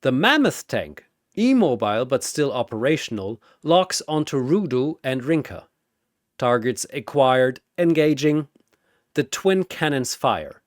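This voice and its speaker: A male narrator tells a battle story with theatrical punch. His pitch swings widely while his pace stays slow and deliberate, in a dry, close recording. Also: big pitch swings, no echo. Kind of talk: dramatic scripted battle narration.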